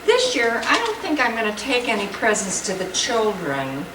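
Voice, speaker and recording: A woman's voice: on the high side, huge pitch swings, normal speed. A woman voicing a perky, chatty animal puppet in a live children's show, speaking in a sing-song way. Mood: affectionate. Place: indoor room before an audience of children. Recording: echoey, distant, very noisy room.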